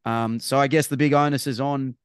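A man's voice: mid-range voice, steady tone, fairly quick, smooth, no echo, clean studio sound. A man with an Australian accent chatting casually as a podcast co-host, rambling and restarting his phrases, with bursts of emphasis. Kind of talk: casual sports chat.

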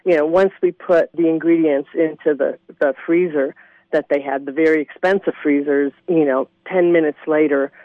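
A woman caller phoning in to a radio show, gushing and excited about her travels. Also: sits low, moderate pace, no room sound. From Colorado. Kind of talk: phone-in chat. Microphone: phone. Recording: lo-fi phone line.

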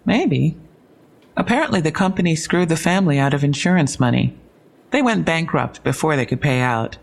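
A woman's voice: low-pitched, expressive, normal speed, gravelly, heavily acted. An actress playing a matter-of-fact young female police detective in an audio drama, briefing conversationally with dry, wry asides.

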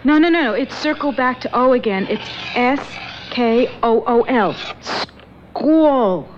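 A woman's voice: a little high, expressive, slow. A woman acting in a 1970s radio drama, urgent and intent, on a lo-fi radio recording with faint hiss.

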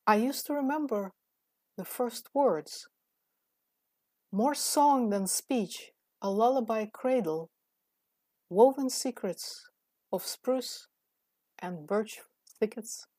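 A middle-aged woman whose first language is Russian, slowly and deliberately reading her poem. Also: fairly high pitch, mellow, dry recording. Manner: slow, deliberate recitation. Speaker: poet. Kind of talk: poetry reading.

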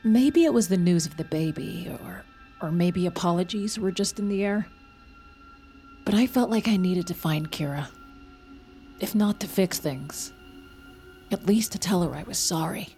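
A woman's voice: on the low side, normal speed, long pauses, mellow, natural voice. An actress playing a haunted, determined mother in an audio drama, earnest and emotional. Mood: subdued. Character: haunted, determined mother, desperate yet defiant. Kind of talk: audio fiction acting with first-person narration. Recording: music behind the voice.

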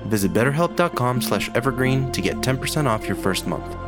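A man's voice: mid-range voice, expressive, fairly quick. A man reading a scripted podcast sponsor message, with music behind him. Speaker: podcast ad reader.

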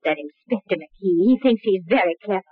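Guarded young widow, tinny old radio. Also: female voice, mid-range voice, expressive, fairly quick, husky, no room sound. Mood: urgent, tense. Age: young adult. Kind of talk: 1940s radio crime drama acting.

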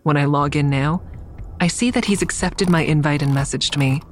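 A woman's voice: sits low, moderate pace, slight background noise, close to an everyday voice. An actress playing a guarded, stressed woman investigator who also narrates in a first-person audio drama. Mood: subdued, uneasy. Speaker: narrator and lead character.

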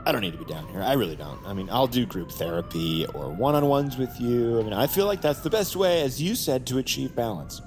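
A brash, sarcastic patient played by a man in an audio drama, stammering through wisecracks and asides. His mid-pitched voice swings up and down with lively expression, and music plays loudly underneath. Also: a little fast, hardly any pauses, natural voice. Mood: panicked. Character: rattled, wisecracking male patient plotting an escape. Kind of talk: audio fiction acting with inner-monologue asides.

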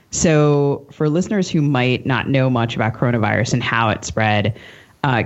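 A woman's voice: very deep voice, a little mellow. Woman, American accent, podcast interviewer, conversational delivery, slightly muffled lo-fi recording.

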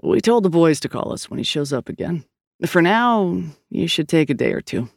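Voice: medium pitch, very animated, moderate pace, creaky, no echo. A narrator voicing a weary, blunt male police partner with a dry, dismissive way of talking, in an audiobook. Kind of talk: audiobook character voice.

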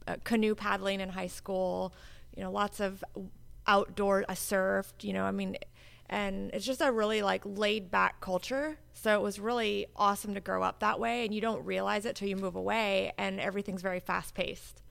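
A woman's voice: mid-range voice, level tone, moderate pace, creaky, fairly bright tone, clear, resonant tone. A woman from Hawaii in her thirties, a guest in a casual interview, talking in a laid-back way with frequent fillers.

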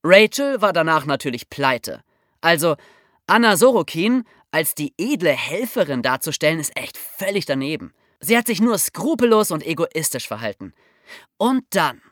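A woman's voice: sits low, sing-song, moderate pace, dry recording, studio quality. A woman with a German accent hosting a podcast, telling a true-crime story in a casual chat, with wry asides and frequent laughs. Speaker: podcast host.

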